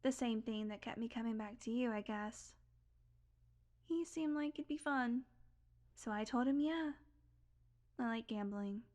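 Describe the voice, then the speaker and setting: A woman's voice: fairly high pitch, unhurried, pauses often, a little mellow, natural voice. A woman playing a sardonic, secretly tender long-lived vampire in an intimate audio roleplay, talking in a casual, wry, confiding way. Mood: nostalgic, confiding. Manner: casual, confiding, wry, swearing, drifting into whispers.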